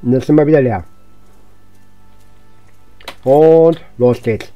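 A man's voice: medium pitch, slow, no echo, muffled recording, faint music behind. A man giving casual let's-play commentary as a gaming host, unhurriedly thinking aloud to himself.

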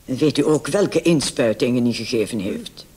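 A man playing a brisk, condescending emergency doctor on night duty in a vintage radio play. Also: medium pitch, expressive, darkish tone. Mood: brisk, questioning. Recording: old radio recording with clear hiss.

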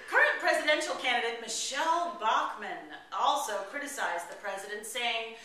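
High, animated woman in an echoey room. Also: normal speed. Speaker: comedy performer reading satirical headlines. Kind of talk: mock newscast read aloud from script at rehearsal. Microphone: far camera. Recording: echoey room, distant camera mic, faint noise. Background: small group of colleagues in the room.

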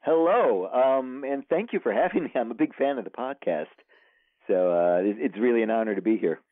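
A man's voice: mid-range voice, lively intonation, a little fast, no room sound. A man being interviewed as an author, answering thoughtfully with many hesitations. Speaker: author guest. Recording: tinny phone line.

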